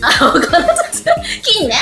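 A woman's voice: measured pace, music in the background. A young woman guest on a radio show, chatting casually and playfully with a girlish, giggly, informal manner.

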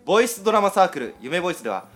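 A man's voice: high voice, very animated, racing, fairly bright tone, faint music behind. A man hosting a radio show, moving between casual chat and announcements.

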